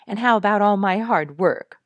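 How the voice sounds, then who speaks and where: A woman's voice: medium pitch, normal speed, no room sound, dull audio, voice pushed up, lightly acted. A woman voicing a wry, playfully long-suffering mother in a storybook, teasing and mock-grumbling with emphasis.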